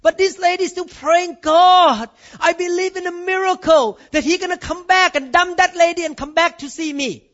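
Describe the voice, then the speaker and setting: High-voiced Thai man preaching emphatically. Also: fairly even intonation, moderate pace, fairly bright tone, lo-fi sound. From Thailand. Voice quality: non-native grammar. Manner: emphatic preaching, voice rising and falling, calls of amen and hallelujah. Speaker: pastor. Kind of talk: sermon.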